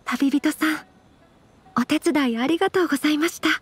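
A woman playing a gentle, modest young noblewoman in an anime game, very polite, formal and composed. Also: high voice, a little fast, lightly acted.

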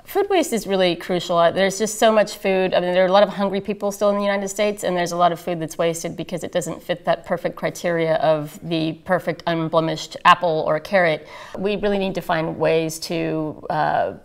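A woman's voice: on the low side, steady tone, a little fast, gravelly. A middle-aged woman, a chef, speaking thoughtfully in a documentary interview in a living room.

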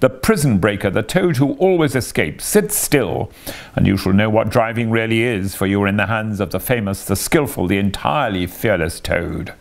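A man's voice: lowish voice, expressive, normal speed, vocal fry. A man voicing a boastful, reckless male toad who crows with self-admiration. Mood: reckless gloating. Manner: gleeful, self-admiring, shouting.

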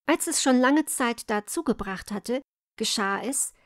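A woman narrates a fairy tale from a script. She takes it at a slow, measured pace with a slightly high pitch. The recording is dry, with hardly any room sound. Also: lively intonation, no room sound. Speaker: storyteller.

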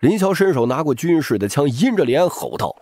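A man's voice: fairly high pitch, big pitch swings, brisk, a little mellow, slight background noise. A man narrating a novel as a dramatic, scripted audiobook.

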